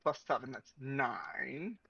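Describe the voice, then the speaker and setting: A man with a husky voice of average pitch plays a tabletop game in a casual way. The recording is dry and somewhat dull. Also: takes it slowly, sharp tone, no room sound.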